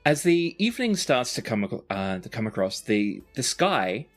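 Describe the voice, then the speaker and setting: A man's voice: medium pitch, sing-song, normal speed, soft background music. A man narrating a tabletop roleplaying game as game master, improvising scenes in a conversational way.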